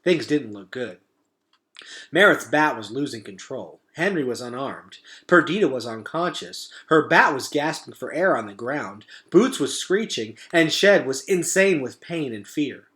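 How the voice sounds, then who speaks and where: A man's voice: medium pitch, lively intonation, measured pace, fairly bright tone. A man reading a children's novel aloud as a storyteller, with dramatic, suspenseful delivery.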